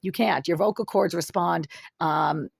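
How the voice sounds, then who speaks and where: Low raspy woman, intense and accusing. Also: a little slow, no echo, clean studio sound. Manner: intense, accusing, long run-on sentences. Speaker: vlogger. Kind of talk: solo monologue to camera. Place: her apartment, sitting in the dark.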